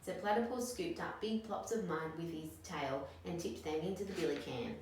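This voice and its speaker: A woman reading a picture book aloud to children in an expressive storytelling style. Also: fairly low pitch, moderate pace. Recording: very echoey room, distant mic, noisy.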